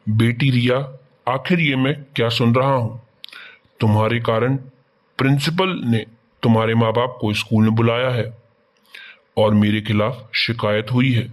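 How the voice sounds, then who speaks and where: A man's voice: medium pitch, fairly even intonation, unhurried, natural voice. A man playing a principled schoolteacher, defensive but understanding, in scripted cartoon dialogue. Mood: upset, stern.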